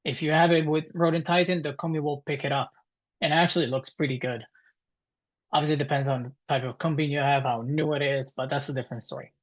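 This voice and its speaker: A man in his thirties with an Italian accent, presenting informally to a webinar in a halting, conversational way. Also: fairly high pitch, steady tone. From Italy. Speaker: guest presenter, dental technician. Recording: dull, muffled webinar audio.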